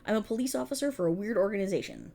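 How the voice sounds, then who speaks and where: A woman's voice: medium pitch, expressive, very fast, gravelly, dark tone. A woman playing a prickly, exasperated police officer in a scripted ASMR roleplay, talking in a wry, bossy, explanatory way to an unheard partner. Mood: instructive. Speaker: voice actor in audio roleplay. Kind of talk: scripted ASMR roleplay, one-sided dialogue.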